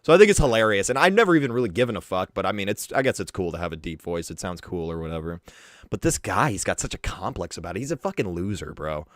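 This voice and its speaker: Deep-voiced young man mocking bluntly. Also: lively intonation, fast, no echo, clean studio sound. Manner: crude, mocking, swears a lot. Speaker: commentary streamer. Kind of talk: casual drama commentary.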